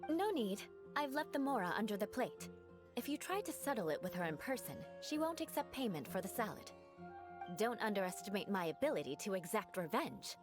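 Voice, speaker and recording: A woman's voice: medium pitch, very animated, a little fast, close to an everyday voice. A woman voicing a proud, aloof young female knight who is cool and teasing, in video game dialogue. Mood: wry. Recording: game dialogue over music.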